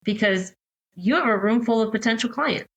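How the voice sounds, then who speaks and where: A woman's voice: on the high side, normal speed. An American woman speaking as an advocate and interview guest, explaining things in a conversational way. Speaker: podcast guest, court-watch advocate.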